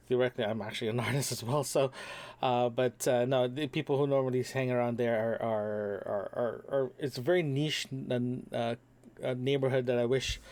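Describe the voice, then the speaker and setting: A young man from Toronto keeps a casual audio diary, talking alone into a microphone. He rambles, stacks up ums and restarts, and repeats words while he searches for what to say. His voice sits at a middle pitch. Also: darkish tone. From Toronto, Canada. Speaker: podcast host. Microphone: single microphone.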